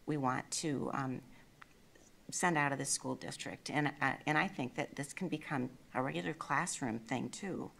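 A woman in her sixties, a school board member, giving earnest, measured remarks through a desk mic in a meeting room. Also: a bass voice, vocal fry, a bit bright. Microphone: desk gooseneck mic.

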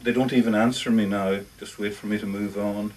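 A man's voice: fairly low pitch, steady tone, brisk, darkish tone, recorded from a distance, lightly acted, poor audio. A man reading a short story monologue as a lonely, socially awkward older lodger, confiding, hesitant and defensive, correcting himself as he goes. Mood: rejected, wistful. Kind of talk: first-person short story monologue read aloud. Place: live reading in a bookshop.

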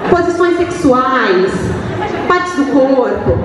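A young woman telling a lively, slangy story on a panel stage, speaking into a handheld mic. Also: sits high, takes it slowly, noisy background. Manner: lively, slangy, acts out quoted voices. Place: large hall stage, seated on a couch. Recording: echoey hall from far off.

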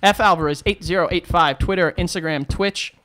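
A young man guesting on a podcast, animated and laughing in casual chat, swinging between high and low voice, on a desk studio mic. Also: fairly quick. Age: young adult. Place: small studio with brick wall. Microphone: studio mic on desk stand with headphones.

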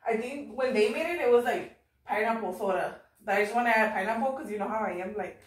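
A woman's voice: a little high, fast. A young woman with a Mexican American accent, chatting and giggling while she makes drinks for her vlog, slipping into Spanish now and then. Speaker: vlog host. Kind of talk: casual cooking chat. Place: home kitchen, indoors. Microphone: camera across the room. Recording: echoey kitchen, from far off.

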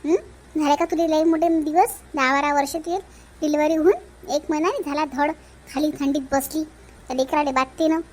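A woman's voice: high-pitched, steady tone, fairly quick, darkish tone, lightly acted. A woman voicing a bossy but caring village woman in a family comedy cartoon, emphatic, with a Vidarbha Marathi accent. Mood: concerned, chiding. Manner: chiding, reassuring, emphatic.

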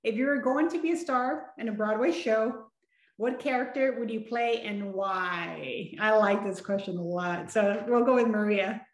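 A woman in her forties from San Antonio, moderating a panel over a video call, conversational and quick to laugh. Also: medium pitch. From San Antonio, Texas. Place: small room at home. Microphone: video call webcam. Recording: echoey room on video call.

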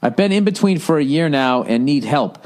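A man's voice: lively intonation, moderate pace, a little mellow. A middle-aged man hosting a podcast, bluntly giving advice with lots of swearing and mocking put-on voices. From United States. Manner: profane, blunt, mocking voices in a higher pitch. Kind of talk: casual advice rant reading listener letters.

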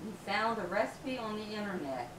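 A woman's voice: medium pitch, very animated, fairly quick. An older woman helping in a home kitchen, teasing her friend and asking how much of each thing to use. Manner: teasing, questioning. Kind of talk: casual kitchen chat. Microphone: camera across the room. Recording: echoey kitchen, camera far off, noisy.

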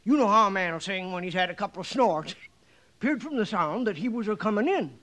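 Radio western stable owner: very high pitch, lively swings, Western accent, garrulous, folksy, rambling. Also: male voice, brisk. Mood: excited, spooked. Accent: American Western. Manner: rambling, hesitant, chuckling folksy storyteller. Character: folksy, garrulous, excitable livery stable owner in old West. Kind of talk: old-time radio western acting.

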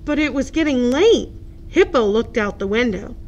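A woman's voice: fairly high pitch, lively intonation, normal speed, darkish tone. A woman narrating a children's picture book expressively, as a librarian at story time.

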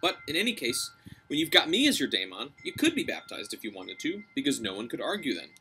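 A man voicing a brave, cunning young girl, coaxing and deferential as she flatters. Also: medium pitch, a little hiss or hum, heavily acted, rough recording. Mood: earnest persuasion. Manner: coaxing, deferential, quick-thinking.

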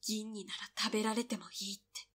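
A woman's voice: mid-range voice, level tone, a little fast, a bit bright, close to an everyday voice. A woman voicing a devoted tomboyish young girl in a voice drama, blunt yet tender. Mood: tender, resigned. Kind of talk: Japanese voice drama acting.

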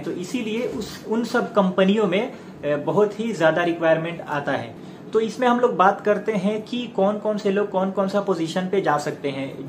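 A man, giving an informal explainer to camera in halting phrases with frequent filler pauses. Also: fairly high pitch. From India. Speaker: YouTube presenter giving career advice. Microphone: clip-on lapel mic. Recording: echoey room with loud background noise.

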